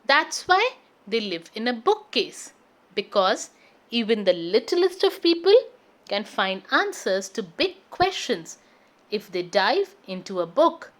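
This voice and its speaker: High-pitched woman reading a children's storybook. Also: sing-song, slow. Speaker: children's read-aloud host. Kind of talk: expressive storybook narration for children.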